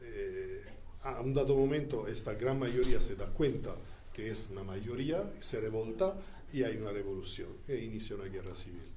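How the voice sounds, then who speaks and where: A man with a fairly low, warm, mellow voice who learned Spanish as a second language tells of his diplomatic postings in an interview. He speaks slowly and deliberately and often pauses to find his words. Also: animated, a little hiss or hum. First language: not Spanish. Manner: deliberate, halting delivery with frequent pauses. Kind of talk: recounting experiences in an interview.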